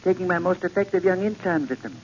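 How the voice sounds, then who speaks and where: Man playing a high-voiced, fussy doctor. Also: fast, lightly acted. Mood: apologetic. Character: apologetic, fussy male sanitarium doctor on telephone. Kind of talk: 1940s radio acting. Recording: muffled, hissy 1940s radio recording.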